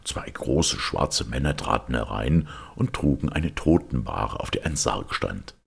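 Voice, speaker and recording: A man narrating a fairy tale from a script in an expressive, dramatic way, with a gravelly, husky voice. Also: a bass voice, measured pace.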